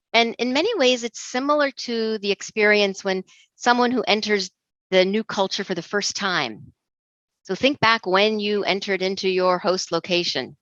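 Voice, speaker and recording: Middle-aged woman giving a measured talk. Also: medium pitch, fairly bright tone, no echo. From Egypt. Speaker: intercultural trainer. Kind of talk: recorded presentation talk. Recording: dull dry lo-fi recording.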